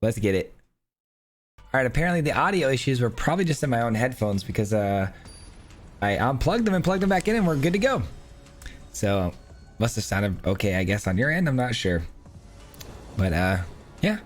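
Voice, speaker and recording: A hoarse man, his voice wearing thin, talks his way through a card game in a chatty, upbeat stream of thought. His pitch sits in a plain middle range, with faint music playing underneath. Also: big pitch swings, moderate pace, pauses often. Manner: chatty, upbeat, thinking aloud. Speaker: gaming channel host. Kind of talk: casual live gameplay commentary.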